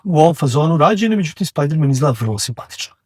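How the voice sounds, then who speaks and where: A man's voice: fairly high pitch, lively intonation, very fast, breathy edge, no echo, studio quality. A male podcast co-host in casual chat, talking in a chatty way with filler words.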